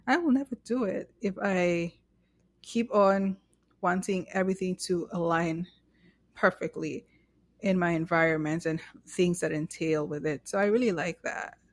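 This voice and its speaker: A woman's voice: on the low side, unhurried. A young adult woman from Kenya co-hosting a podcast, musing earnestly and restarting her sentences as she thinks. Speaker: podcast co-host. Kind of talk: casual conversational podcast.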